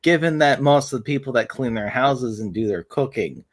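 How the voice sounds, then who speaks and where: A man's voice: medium pitch, animated, normal speed. A man in a casual stream chat, blunt and opinionated.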